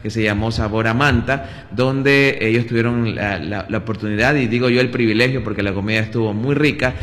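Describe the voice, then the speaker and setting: A man's voice: medium pitch, brisk, a bit bright. An Ecuadorian man answering questions as a guest on local radio, in a studio that rings like a hall. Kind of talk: conversational interview talk. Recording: roomy hall with long reverb.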